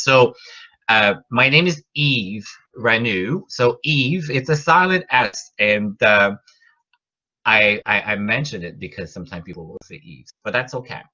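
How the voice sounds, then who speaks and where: A man's voice: fairly low pitch, bright, forceful tone, highs cut off. A middle-aged man from Quebec with a French-Canadian accent, French his first language, giving a college class online with many fillers and restarts. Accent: Québécois French. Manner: rambling, restarts and fillers, rising emphasis on key words. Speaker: college professor.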